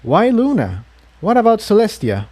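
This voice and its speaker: A man's voice: high voice, huge pitch swings, moderate pace, dark tone, pitched above the performer's own voice, heavily acted. A man voicing an earnest male unicorn traveler who asks questions politely in a storybook reading. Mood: curious.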